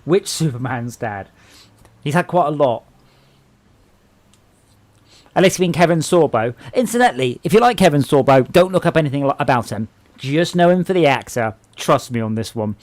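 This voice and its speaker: A man's voice: a little high, fairly quick, dry recording. Chatty southern English man gaming. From England. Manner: chatty, excitable, jokey, tangents. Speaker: streamer playing online with friends. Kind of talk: casual gaming chat.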